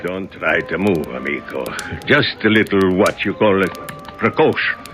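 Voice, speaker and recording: A big Italian bouncer in a radio thriller. His voice is low and his accent Italian, with polite words that carry a quiet threat. Music plays behind him. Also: expressive, moderate pace. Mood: quietly menacing. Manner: mock-courteous, quietly menacing. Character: burly, smooth Italian waterfront-club bouncer in a tuxedo. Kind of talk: radio drama acting.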